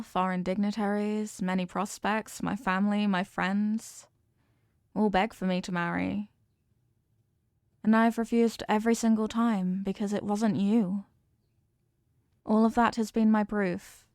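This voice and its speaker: A woman's voice: medium pitch, a little mellow, dry recording, studio quality, natural voice. Woman acting a possessive, regal evil queen. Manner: formal, measured, imperious, veiled menace. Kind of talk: scripted roleplay monologue, ASMR audio drama.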